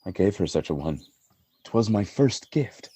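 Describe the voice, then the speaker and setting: Male actor as jealous Shakespearean general, husky, slow and heavy, raging and grieving, radio play. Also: low-pitched, expressive, heavily acted. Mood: hushed dread. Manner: declamatory, swinging between hushed brooding and outcry. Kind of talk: Shakespearean radio drama acting.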